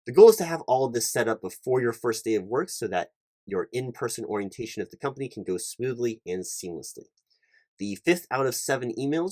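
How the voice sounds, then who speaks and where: A man's voice: mid-range voice, a little mellow, no room sound, clean studio sound, natural voice. A man playing an officious, polite recruiting manager in a one-sided ASMR roleplay, talking in a wordy, hesitant way and repeating himself. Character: officious, rambling male corporate recruiting manager, polite and awkward.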